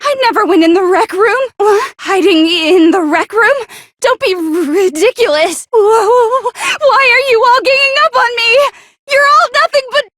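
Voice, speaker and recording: A woman voicing a paranoid, bitter and insecure teenage girl in an anime video game, stammering, defensive and sharp-tongued. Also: extremely high pitch, moderate pace, slight background noise. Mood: panicked, furious.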